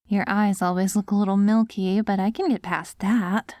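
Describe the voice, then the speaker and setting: A woman playing an affectionate post-apocalyptic wife in an ASMR audio roleplay, coaxing and gently praising her ghoul husband. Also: mid-range voice, fairly even intonation, moderate pace, dry recording, studio quality, natural voice. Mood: tender, wistful.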